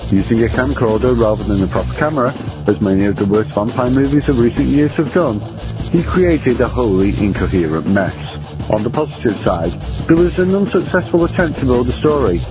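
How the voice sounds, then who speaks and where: A man presenting a scripted review segment in a wry, formal manner. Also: mid-range voice. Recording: dull, lo-fi radio-style sound. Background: prominent guitar music.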